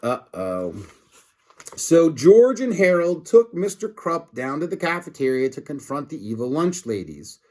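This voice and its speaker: A man narrates a children's book aloud with dramatic expression. His fairly high voice moves up and down in a lively way, and he drops in casual asides to his young listeners. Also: unhurried. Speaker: storybook reader for children.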